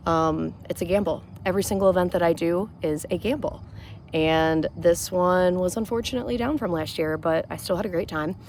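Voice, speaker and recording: A woman with a hoarse voice, speaking as a rally vendor in a chatty, matter-of-fact way. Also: lowish voice, a little mellow, a little hiss or hum. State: hoarse, losing her voice. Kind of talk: casual vlog chat to camera. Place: outdoor campground.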